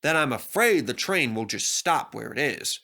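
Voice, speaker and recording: A courteous, insistent train conductor played by a man in a children's tale. His voice is bright and animated, firm while staying polite. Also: medium pitch, moderate pace, gravelly.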